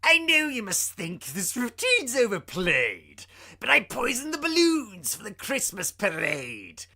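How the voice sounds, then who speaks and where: Crazy clown supervillain, high pitched and swooping, gleeful, taunting, stretches out words. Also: male voice, wildly swooping pitch, sharp tone, exaggerated voice. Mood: maniacal, menacing. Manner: taunting, theatrical, drawn-out words. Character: maniacal, gleeful, theatrical clown villain.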